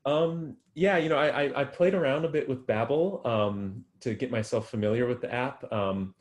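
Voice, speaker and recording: A man's voice: mid-range voice, moderate pace. A man answering interview questions about his research, thoughtful and full of ums and restarts. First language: English. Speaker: guest researcher.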